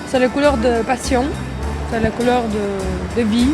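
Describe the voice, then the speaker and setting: An actress with an Eastern European accent, answering interview questions in a hesitant, playful way. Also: female voice, fairly high pitch, unhurried. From former Soviet Union. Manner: hesitant, playful, laughs often. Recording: loud music behind voice.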